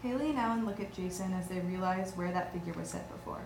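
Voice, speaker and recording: A woman's voice: fairly low pitch, fast talker, vocal fry. A woman reading stage directions as scripted narration at a table read. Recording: distant, echoey, noisy room.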